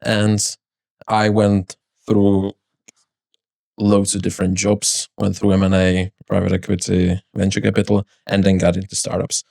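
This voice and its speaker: A man's voice: sits low, completely flat delivery, a little slow, vocal fry, darkish tone, no room sound, studio quality. A man with a Czech accent, talking casually and explaining things as a guest in a roundtable. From Czech Republic. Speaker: podcast guest, startup founder.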